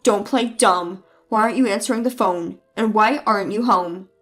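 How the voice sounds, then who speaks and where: A woman's voice: medium pitch, moderate pace, faint music behind, voice pushed down, lightly acted. Woman voicing a bullying, selfish husband. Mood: yelling. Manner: blustering, yelling then pleading. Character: bullying adult male husband, selfish mama's boy.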